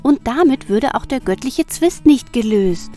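A woman doing a gentle, cheerful goddess. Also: high voice, lively intonation, a little slow, faint music behind. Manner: soft and coaxing. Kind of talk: amateur radio play acting.

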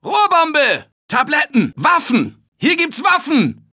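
An upbeat office worker turned zombie survivor for a video game. His bright voice swings widely in pitch as he urges the team on, unhurried between the calls. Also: huge pitch swings, heavily acted. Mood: calling out. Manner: urgent encouraging team calls, shouting under attack. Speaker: game character voice. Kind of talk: video game voice lines, dubbed.

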